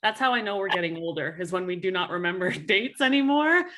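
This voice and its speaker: A woman's voice: fairly low pitch, animated, quick pace, sharp tone, a little hiss or hum. A woman hosting a podcast and talking with her guest, chatty and encouraging, often adding "you know". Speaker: podcast host, coach. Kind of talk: casual podcast conversation.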